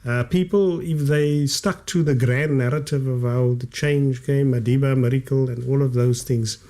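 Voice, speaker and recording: A man's voice: mid-range voice, fairly even intonation, moderate pace, warm tone. A South African man in his late fifties, a guest author whose first language is Kaaps, speaking reflectively in a podcast studio and stretching out key words for emphasis. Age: 50s. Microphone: studio condenser mic with headphones.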